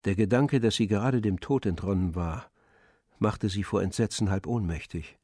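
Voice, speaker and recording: Husky male narrator, lowish pitch, measured, grave, literary radio drama narration. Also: a little mellow, no echo.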